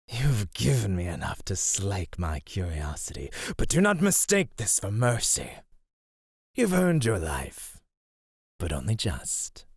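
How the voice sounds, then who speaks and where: Predatory serpent monster, low-pitched, slow and deliberate, teasing, condescending, ASMR roleplay. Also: male voice, a little mellow, husky, no echo, clean studio sound, full character voice. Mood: stern, grudging.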